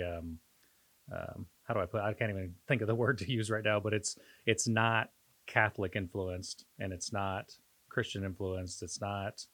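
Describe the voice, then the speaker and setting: A man co-hosting a podcast in casual, reflective conversation, pausing and searching for his words as he talks. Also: deep voice, a bit bright. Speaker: podcast co-host.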